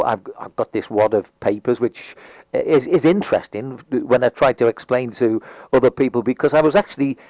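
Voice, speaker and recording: A man's voice: medium pitch, a little mellow, dry recording, poor audio. Hesitant man with a Liverpool accent. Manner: hesitant, full of restarts. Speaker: radio interviewer. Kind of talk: casual interview chat.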